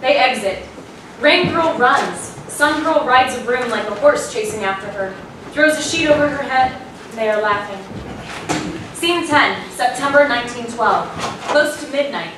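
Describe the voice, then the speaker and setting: A woman's voice: fairly high pitch, animated, unhurried. A woman giving a scripted narration of stage directions at a play reading. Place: small indoor venue with audience. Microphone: far camera. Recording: very echoey room, distant mic, noisy.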